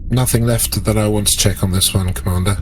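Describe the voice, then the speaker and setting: Deep-voiced, matter-of-fact man roleplaying. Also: steady tone, a little fast, slight background noise. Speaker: tabletop game player. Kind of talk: in-character roleplay as starship officer.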